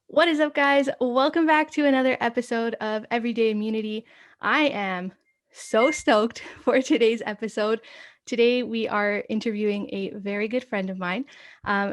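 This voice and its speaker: A woman's voice: a little high, dry recording, clean studio sound. A woman hosting a casual podcast interview, bubbly and enthusiastic, laughing often between her words. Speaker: podcast host.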